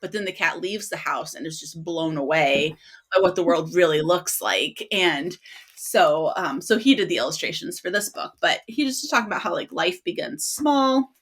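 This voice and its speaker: A woman with a slightly low voice talks casually as a podcast co-host. She is earnest and expressive, speaking from the heart in a conversational flow. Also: normal speed, slightly rough. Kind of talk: casual book discussion.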